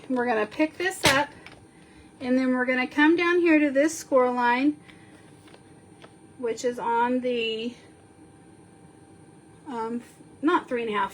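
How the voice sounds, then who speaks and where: A woman sniffling a little as she slowly talks through a card-making tutorial, counting measurements aloud while she works. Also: high voice, slight background noise. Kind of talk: step-by-step crafting tutorial.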